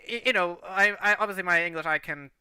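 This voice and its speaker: A man's voice: high voice, fast talker, sharp tone, clean tone, dry recording, clean studio sound. A man from Portugal, English not his first language, chatting on a creators' livestream about planning a long series, rambling with many restarts. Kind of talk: casual livestream chat.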